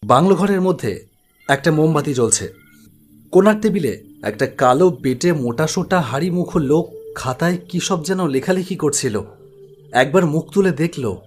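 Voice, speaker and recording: A man narrating a scripted spooky story in a dramatic, suspenseful way. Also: on the high side.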